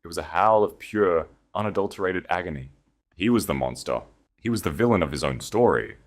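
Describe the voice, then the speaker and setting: A man narrating a dramatic historical romance audio story in a measured, scripted delivery. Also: low-pitched, animated.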